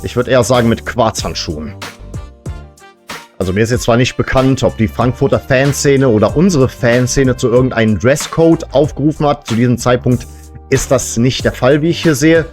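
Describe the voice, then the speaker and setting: Joking man over clearly audible music. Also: medium pitch, moderate pace, a little mellow. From Serbian family background. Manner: tongue-in-cheek, joking asides. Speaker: host of a football fan entertainment show. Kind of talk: casual satirical monologue to camera.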